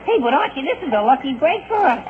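A man's voice: extremely high pitch, very animated, fast talker, narrow, tinny audio. An actor playing a gawky, laid-back teenage boy sidekick with dry, folksy wisecracks in a 1940s radio comedy. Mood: excited. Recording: noisy, scratchy, phone-thin 1940s radio broadcast.

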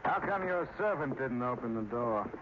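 An actor in an old-time radio drama playing a stern small-town lawman who speaks in a blunt, deliberate, accusing way. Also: mid-range voice, expressive, close to an everyday voice. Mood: suspicious, probing. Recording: muffled, noisy old radio recording.

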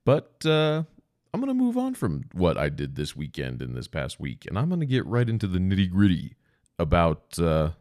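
A congested man with a very low voice hosts his own podcast in a loose, rambling way, dropping curse words as he goes. His nose is stuffed and sniffly. The sound is close and dry. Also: wildly swooping pitch, normal speed, a little mellow, dry recording. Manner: rambling, casual, swears often. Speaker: podcast host. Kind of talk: rambling solo podcast chat.

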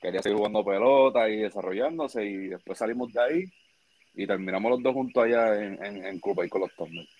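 Young puerto rican man, twenties, lowish voice, relaxed, casual. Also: fast, muffled recording. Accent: Puerto Rican Spanish. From Puerto Rico. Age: 20s. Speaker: baseball pitcher guest. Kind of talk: casual interview chat.

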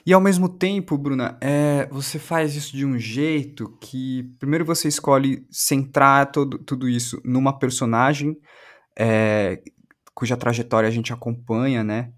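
A man's voice: mid-range voice, steady tone, unhurried. A Brazilian man interviewing in a thoughtful conversation, tentatively searching for his words and repeating himself.

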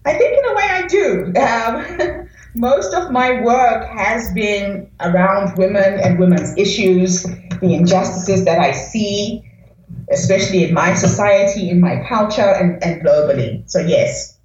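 A woman from Africa, an inspirational speaker, answering interview questions in an earnest, deliberate way. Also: mid-range voice, a little mellow. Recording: echoey, dull-sounding remote line.

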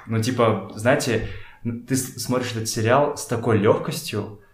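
A man talking casually as a podcast guest, telling things in a halting, slangy way and often repeating words. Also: medium pitch. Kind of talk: casual conversational storytelling. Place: in a room at a home. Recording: echoey room.

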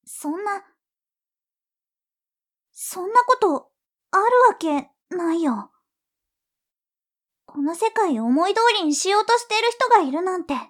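A woman playing a naive, excitable little sister in an audio drama, with a childlike, innocent manner. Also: extremely high pitch, a little slow, pauses often. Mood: unsettled. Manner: childlike, innocent, readily protesting. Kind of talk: Japanese audio drama acting.

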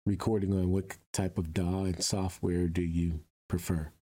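A man's voice: low-pitched, level tone, measured pace, warm tone. A man hosting a conversational interview, putting his questions in a hesitant, wordy way. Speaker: interviewer, host.

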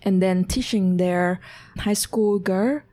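Guest, woman in her 30s, soft dark tone, unhurried and searching for words. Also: medium pitch. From Vietnam. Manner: unhurried, pausing to find words, with fillers. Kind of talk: telling her life story in an interview.

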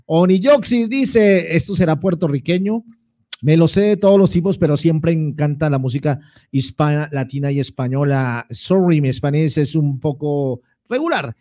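A man hosting a music radio show, giving lively, chatty shout-outs to listeners. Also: fairly high pitch, moderate pace.

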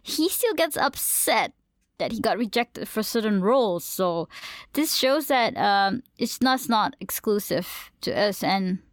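A woman from Malaysia talks casually on a podcast about voice acting, at a middle pitch for a woman. She rambles and restarts her sentences, padding them with "like" and "you know." The sound is dry and close, with no room echo. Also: expressive, unhurried. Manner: rambling with many fillers and restarts. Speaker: podcast co-host, voice actor. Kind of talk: casual chat.

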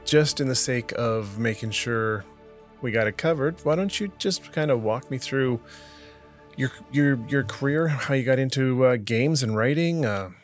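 A man's voice: medium pitch, a little slow, faint music behind. A man hosting a casual interview, laid-back, asking questions and giving short replies. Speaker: interview host.

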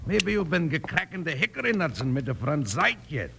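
Radio western gunsmith: fussy German immigrant, heavy accent, mangled English, high and creaky, noisy old recording. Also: male voice, big pitch swings, brisk. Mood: flustered, puzzled. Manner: garbled mock-German mixed with English. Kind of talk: old-time radio comedy western acting.

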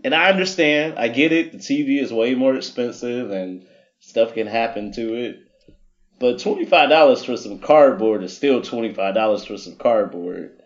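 A man's voice: mid-range voice, moderate pace, lo-fi sound. A man guest on a podcast, telling a story about his weekend in a wry, self-mocking way. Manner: wry, self-mocking, laughs through stories. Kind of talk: casual storytelling.

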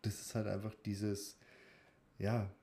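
A young man with a fairly low, very mellow voice. He co-hosts a podcast in casual chat, feeling his way through loosely phrased sentences. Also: completely flat delivery, slow pace, gravelly. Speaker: podcast co-host.

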